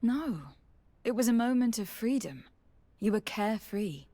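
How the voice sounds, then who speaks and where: Game role: tactful young noblewoman, formal and earnest, very varied pitch. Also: expressive, a little slow, mellow. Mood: gentle, curious. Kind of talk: video game dialogue acting.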